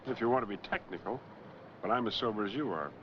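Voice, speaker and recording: A man's voice: lowish voice, quick pace, slight background noise, lightly acted. A man playing a cocky, defensive truck driver in a 1940s film noir. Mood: cocky, indignant. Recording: muffled 1940s film soundtrack, music behind.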